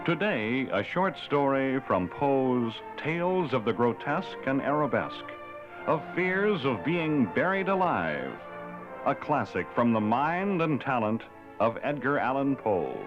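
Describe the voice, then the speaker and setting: A man's voice: mid-range voice, big pitch swings, measured pace. A man announcing a vintage radio drama in a grand, dramatic way. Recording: dull old radio transcription with music behind.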